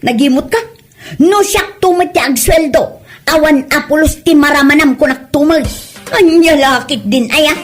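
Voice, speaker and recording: A woman's voice: high-pitched, normal speed, faint music behind, natural voice. A woman acting a quarrelsome, defensive wife with a scolding, argumentative manner in a comedy drama. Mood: indignant. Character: quarrelsome, defensive wife obsessed with making reels.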